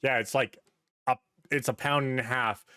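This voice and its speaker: A man's voice: medium pitch, fairly even intonation, moderate pace, pushed and bright, smooth, no echo. Casual man podcaster, dry close sound. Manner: sweary, anecdotal, acts out quoted bits. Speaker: podcast host. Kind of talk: casual podcast chat about movies and games.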